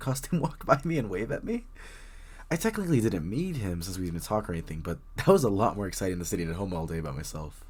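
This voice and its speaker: A man playing a very shy, friendly young writer in an intimate coffee shop roleplay, speaking hesitantly with nervous stammers and a husky voice. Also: fairly low pitch, darkish tone. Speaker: audio roleplay voice actor. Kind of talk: intimate one-sided roleplay acting, casual chat.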